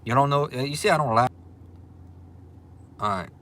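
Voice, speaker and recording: A man's voice: mid-range voice, moderate pace, a bit bright. Easygoing Louisiana man in his forties. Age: 40s. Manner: easygoing, repeats himself, frequent ums. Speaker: livestream host. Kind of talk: casual livestream chat reading viewer comments. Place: restaurant. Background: faint restaurant ambience.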